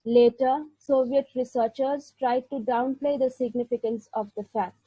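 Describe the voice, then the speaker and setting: Woman, lecturer, measured delivery, husky, close dry lo-fi recording. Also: high-pitched, steady tone, no room sound. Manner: measured, deliberate delivery with occasional slips. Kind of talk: illustrated lecture with poetry reading. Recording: dull, lo-fi sound.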